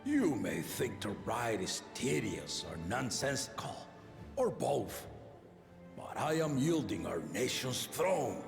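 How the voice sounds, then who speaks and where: An actor playing an aging, benevolent king in a video game, speaking in a measured, regal, solemn manner. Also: mid-range voice, very animated, vocal fry, full character voice. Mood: wistful, warm. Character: aging benevolent king and father, stately, fond of contests. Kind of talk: scripted video game voice acting. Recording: echoing hall reverb under game music.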